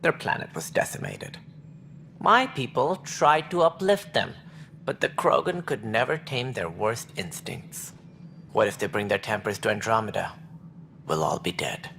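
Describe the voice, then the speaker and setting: An actor playing a stern, proud alien administrator in a video game, speaking in formal, measured, clipped phrases. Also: male voice, fairly low pitch, lively intonation, creaky, pushed and bright, slight background noise, exaggerated voice. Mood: contemptuous. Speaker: alien colony director.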